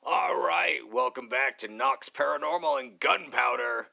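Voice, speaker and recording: A man's voice: mid-range voice, measured pace, vocal fry, highs cut off. A man playing a conspiracy-minded paranormal show host, delivering punchy, intrigued podcast patter. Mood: eager, conspiratorial.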